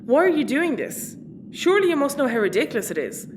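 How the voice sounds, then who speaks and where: A woman's voice: high-pitched, big pitch swings, fast talker, background noise. An actress playing an exasperated, sensible, sardonic spaceship crewwoman in an audio comedy. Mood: reasoning. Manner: dry, reasoning. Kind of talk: audio comedy drama acting.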